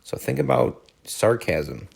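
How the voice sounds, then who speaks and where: Man, middle pitch, teacher read-aloud, narration, informal explanatory asides. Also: sing-song, slow, gravelly, a little mellow, breathy edge.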